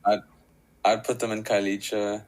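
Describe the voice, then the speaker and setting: A man's voice: fairly low pitch, little pitch movement, normal speed, a little mellow. A man chatting in a laid-back, reflective way, often calling his friend bro. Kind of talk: casual chat.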